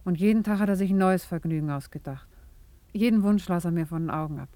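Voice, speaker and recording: A woman's voice: on the low side, quick pace, dark tone, no room sound, lightly acted. Dry, close, earnest young woman played by an actress. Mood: wistful. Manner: earnest, emotional. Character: guilt-ridden, shy young office woman. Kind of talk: 1950s German radio play acting.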